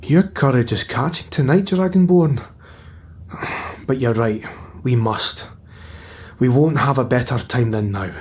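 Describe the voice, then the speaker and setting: A man voicing a determined young warrior in an audio drama, speaking low, steady and resolute. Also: unhurried, long pauses, natural voice. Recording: dull lo-fi recording.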